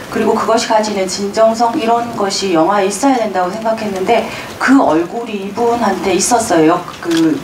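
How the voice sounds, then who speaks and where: A woman from the Gyeongsang region, a film director, reflectively explaining her choices to the press. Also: mid-range voice. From Gyeongsang region, South Korea. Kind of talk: answering press questions. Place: press conference hall. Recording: echoey hall, distant mic, noisy room.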